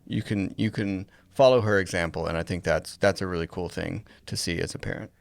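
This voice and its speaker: A man co-hosting a casual podcast, speaking reflectively and pausing to find his words. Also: deep voice, gravelly, dry recording. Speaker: podcast co-host.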